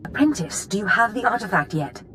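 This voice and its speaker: An actress playing a scheming, gleeful, bookish Sith master in a video game, chatty and theatrical with a purring manner. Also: female voice, mid-range voice, lively intonation, a little fast, a bit bright, slight background noise, close to an everyday voice. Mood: teasing eagerness. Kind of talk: video game cutscene acting.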